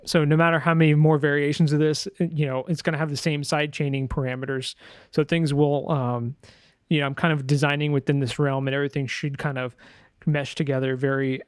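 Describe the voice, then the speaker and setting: A man's voice: mid-range voice, smooth, no echo, clean studio sound. A man giving an informal sound design tutorial, thinking aloud in a hesitant way as he demonstrates. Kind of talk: informal screen-share tutorial narration.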